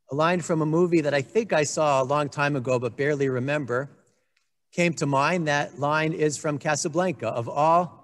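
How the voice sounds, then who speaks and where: Earnest male rabbi in a reverberant room. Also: fairly high pitch. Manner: measured, earnest, with dry asides. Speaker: congregation rabbi. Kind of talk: sermon delivered to camera. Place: indoor room with a wood-panelled wall. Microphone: desk microphone on a stand.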